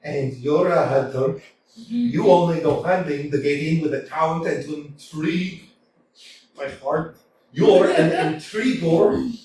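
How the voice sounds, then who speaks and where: A man's voice: a little high, slow, a little mellow, slight background noise. An actor in a community staged reading, playing a nervous, hypochondriac young suitor who whines melodramatically about his aches. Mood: accusing. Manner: fretful, whiny, melodramatic. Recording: echoey hall from far off.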